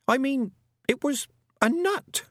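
A man voicing a sharp, sensible young girl. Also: extremely high pitch, lively intonation, slow pace, no room sound, clean studio sound, pitched above the performer's own voice, exaggerated voice.

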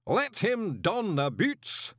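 Ceremonial male master, booming, raised pitch, slow, solemn, pompous, storybook character. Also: wildly swooping pitch, a bit bright, no echo, clean studio sound, heavily acted. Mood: commanding, solemn. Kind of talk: audiobook character voice.

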